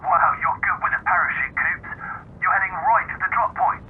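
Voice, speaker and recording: A man's voice: sits high, moderate pace, narrow, tinny audio. An actor playing a cocky, bantering male soldier heard through a radio filter in a sci-fi audio drama. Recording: band-limited radio sound, faint noise.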